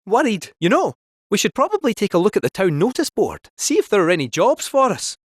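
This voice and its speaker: An actor playing a small, cheeky talking robot cat in a video game, with a Scottish accent and a jaunty, theatrical delivery. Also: male voice, sits high, sing-song, brisk, no echo, studio quality. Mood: chatty. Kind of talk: animated video game character dialogue.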